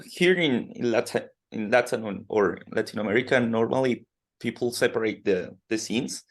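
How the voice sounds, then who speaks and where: A man from Colombia interviewing a musician, asking slow, halting questions full of fillers. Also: fairly low pitch. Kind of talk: podcast interview in second language.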